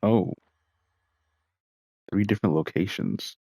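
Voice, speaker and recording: A man's voice: fairly low pitch, slow, mellow, husky, no echo. A man giving casual, offhand gameplay commentary, muttering as he plays. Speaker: game streamer.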